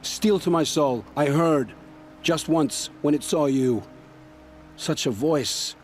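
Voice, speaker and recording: A haunted, grim scavenger. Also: male voice, on the high side, takes it slowly, a little hiss or hum. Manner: intense, dramatic storytelling. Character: haunted male scavenger, middle-aged, wary and grim. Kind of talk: video game voice acting.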